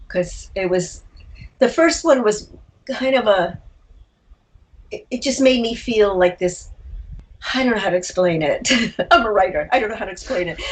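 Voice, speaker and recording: A woman's voice: mid-range voice, rough recording. A woman speaking as a writer being interviewed, conversational and reflective, feeling her way toward the right words. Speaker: interview guest, short story writer.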